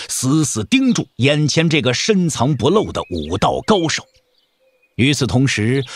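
A man narrating a martial arts audio drama in a dramatic, emphatic storytelling style. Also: mid-range voice.

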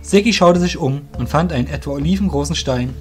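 A man narrates a scripted fantasy audio drama. His voice sits fairly high for a man. Faint music plays behind him. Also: fairly quick.

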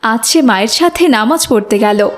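A woman's voice: fairly high pitch, animated, moderate pace. A woman reading scripted narration for a children's cartoon story.

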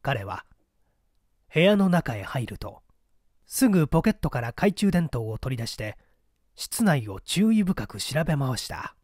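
A man reading a mystery story as a scripted, dramatic narration in a deliberate, theatrical storytelling manner. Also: mid-range voice, sing-song, a little mellow, no echo, studio quality. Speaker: audiobook narrator.

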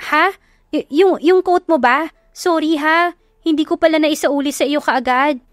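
A woman's voice: sits high, moderate pace, dry recording, clean studio sound, voice pushed up, natural voice. A woman voicing a flustered young romantic heroine with a stammering delivery in an audio love story. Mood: flustered, apologetic.

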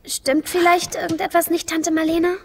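An actress voicing a persistent, confident and caring teenage girl in an animated film dub. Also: very high-pitched, moderate pace. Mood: concerned, determined.

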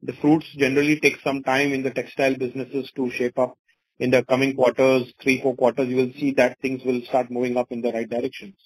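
A man's voice: medium pitch, level tone, a little fast, husky, poor audio. A man with an Indian accent answering investors as a company executive on an earnings call, wordy and often saying "you know".

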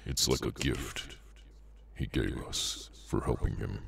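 A man voicing a cursed, inhuman henchman in an ASMR roleplay, bored, sardonic and menacing, with an unhurried dry delivery. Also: fairly bright tone, far from the mic, full character voice. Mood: dryly explaining. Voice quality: very deep, gravelly. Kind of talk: ASMR audio roleplay, slow dramatic monologue.

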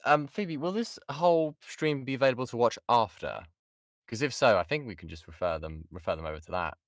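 A man's voice: medium pitch, lively intonation, a little fast, dry recording, clean studio sound. A British man, a voice actor, chatting informally and self-deprecatingly as he answers questions in an online workshop. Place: insulated padded home booth. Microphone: XLR studio microphone.

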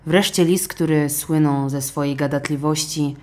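A woman's voice: sits low, fairly even intonation, normal speed, darkish tone. A woman reading a scripted children's story as an audiobook narrator.

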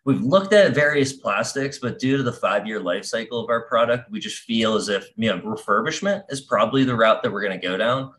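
Upbeat young founder on a video call. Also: male voice, mid-range voice, a little fast, hardly any pauses, fairly bright tone. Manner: upbeat, filler-heavy with like and um. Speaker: startup founder. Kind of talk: pitch and Q&A. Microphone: webcam on video call.

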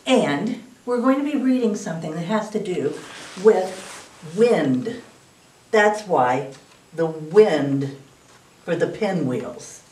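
An older woman reading at a children's library storytime in a slow, animated, sing-song way. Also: lowish voice. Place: library room with wood paneling. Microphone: far camera. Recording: echoey room from a distance.

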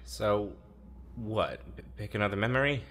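Actor as a wry, deep-voiced young man. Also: fairly bright tone, natural voice. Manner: casual, halting, wry. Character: sardonic, teasing, working-class young man, guarded but warm-hearted. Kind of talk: naturalistic audio drama dialogue.

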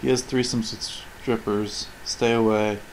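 Male game commentator, casual and sardonic, rambling, recorded over clearly audible game sound. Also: lowish voice, flat delivery, a little slow, slight background noise, poor audio. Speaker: commentator reviewing video game cutscenes. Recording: voice over loud game audio.

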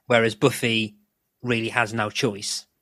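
A man's voice: on the low side, flat delivery, measured pace, a bit bright, dry recording. A British man hosting a podcast, casually discussing a television episode. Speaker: podcast host.

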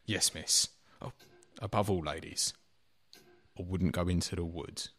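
An actor playing an anxious, deferential butler in a radio play, speaking hesitantly. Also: male voice, deep voice, steady tone, a little slow, a bit bright, soft background music, full character voice. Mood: uneasy, deferential.

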